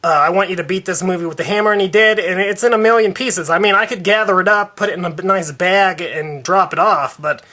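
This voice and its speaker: A man acts out an indignant, devout father in a prank phone complaint. His voice sits high with a bright, sharp edge. He stammers, stalls and circles back over his grievances. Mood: indignant, insistent. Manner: halting, stammering, repetitive. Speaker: prank caller.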